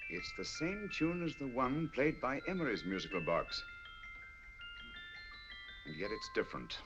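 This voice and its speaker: An actor playing a shrewd, calm detective, speaking in a measured, deliberate way in a 1940s film. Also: male voice, medium pitch, music in the background. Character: shrewd calm male detective, middle-aged. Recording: dull lo-fi 1940s film soundtrack.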